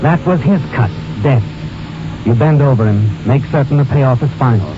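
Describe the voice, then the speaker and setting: A man's voice: medium pitch, normal speed. Ominous male radio narrator over music. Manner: ominous, addresses the character as "you". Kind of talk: dramatic scripted 1940s radio narration. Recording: muffled old radio recording. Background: dramatic music.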